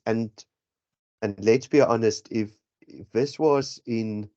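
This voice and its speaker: South african guy tutoring stats, slow and rambly, keeps correcting himself, muffled lo-fi audio. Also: lowish voice, warm tone. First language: Afrikaans. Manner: rambling, self-correcting explanation. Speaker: statistics tutor. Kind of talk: exam prep lesson. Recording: dull lo-fi audio.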